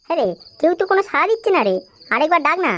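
Man acting a quick, cowardly young sidekick. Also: very high voice, big pitch swings, bright, forceful tone, heavily acted. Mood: nervous. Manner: nervous, complaining. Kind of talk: amateur comedy cartoon dub.

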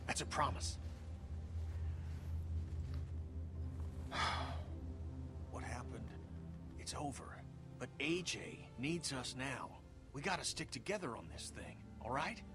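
A man playing a gruff, volatile middle-aged American survivor in a dramatic video game. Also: medium pitch, expressive, measured pace, pauses often, close to an everyday voice. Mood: pleading, subdued. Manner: gruff, colloquial, swearing. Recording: music behind the voice.

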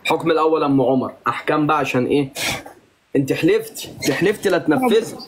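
A man's voice: a little high, animated, fast, breathy edge. A man with an Egyptian Arabic accent hosting a live stream, teasing and bantering with a guest in a playful, mock-stern way.

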